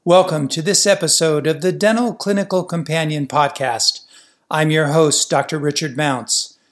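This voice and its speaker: A man's voice: fairly high pitch, steady tone, normal speed, no echo. A man hosting a podcast from a studio, reading a polished introduction and then chatting with enthusiasm. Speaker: podcast host. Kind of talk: scripted intro then interview chat. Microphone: studio mic, dry sound.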